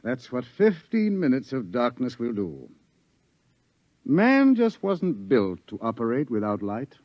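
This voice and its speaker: A grave scientist in a lo-fi 1950s radio play, lecturing in a measured, ominous tone. His mid-pitched voice rises and falls with lively emphasis. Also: no room sound. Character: grave older scientist warning of doom. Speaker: doctor. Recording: dull 1950s radio broadcast.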